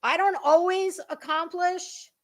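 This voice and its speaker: A woman talking as an online commentator in a casual, dramatic monologue, emphatic and halting, with deliberate pauses and repeated words. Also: very high voice, fairly even intonation, fairly bright tone.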